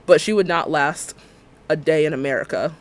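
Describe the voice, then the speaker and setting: Brief: ranting young male streamer, higher voice. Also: moderate pace. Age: 20s. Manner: ranting, animated, sarcastic, mimics others' words. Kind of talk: casual livestream chat while building in a game.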